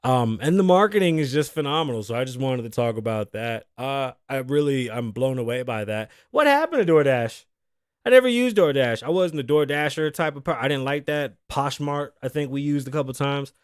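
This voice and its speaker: A man in his thirties with a hoarse voice, hosting a casual podcast monologue from a show set, loose and profane, joking and tossing asides to his crew. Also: medium pitch, brisk, dry recording, studio quality. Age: 30s. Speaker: host. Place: show set in Las Vegas.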